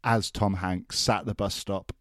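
A man's voice: low voice, fairly even intonation, normal speed, no echo, clean studio sound. A man with a Welsh accent, co-hosting a podcast in casual, joking banter. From Wales. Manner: joking banter, laughs often. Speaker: podcast co-host. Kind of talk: casual chat.

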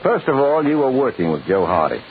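A tough detective in an old radio mystery. He wisecracks in a clipped, sardonic way with lively, medium-pitched delivery. The sound is thin, vintage radio. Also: fairly quick. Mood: sardonic, accusing. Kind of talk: 1940s radio acting. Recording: tinny, hissy old-time radio recording.

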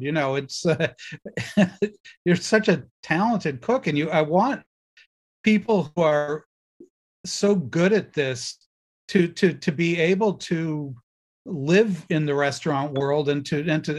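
Co-host born in Pittsburgh, husky voice, halting delivery. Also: mid-range voice. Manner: halting, searching for words, many restarts. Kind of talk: casual interview.

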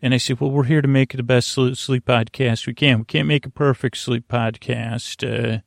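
Creaky, meandering man hosting a sleep podcast. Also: mid-range voice, fairly even intonation, moderate pace, no room sound, studio quality. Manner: meandering, gentle, hesitant with trailing tangents. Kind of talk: rambling bedtime monologue for sleep. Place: small closet padded with quilts and blankets. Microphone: close microphone, monitored on headphones.

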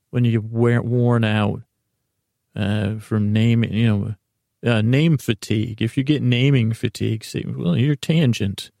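A middle-aged man hosting a podcast with a silly, halting monologue that meanders and repeats itself as it drifts into tangents. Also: medium pitch, gravelly, darkish tone, dry recording, studio quality. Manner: silly, whimsical. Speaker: podcast host. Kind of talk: rambling comedic monologue.

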